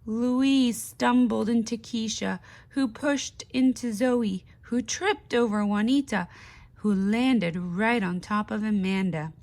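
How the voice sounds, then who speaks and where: A woman reading a children's picture book aloud in an expressive, deliberate teaching way, pausing to put questions to young listeners. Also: a little high. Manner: slow, deliberate, with pauses. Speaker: speech therapist.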